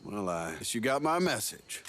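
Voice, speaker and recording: A man's voice: on the low side, big pitch swings, moderate pace, a bit bright, slight background noise. A man playing a wry, persistent intelligence agent in a video game, explaining things in a casual, folksy way. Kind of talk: video game cutscene acting.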